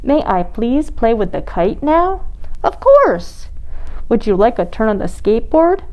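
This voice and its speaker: A woman's voice: on the high side, big pitch swings, a little slow, a little mellow, poor audio. A woman voicing prim, fussy penguins in a storybook, courteous and very proper. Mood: prim courtesy.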